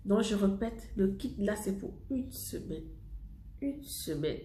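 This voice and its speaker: A woman's voice: medium pitch, expressive, slow, dark tone, roomy sound, slight background noise. A woman with a head cold chatting to her subscribers as she presents a cosmetics product, repeating herself and laughing often. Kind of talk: casual product vlog to subscribers.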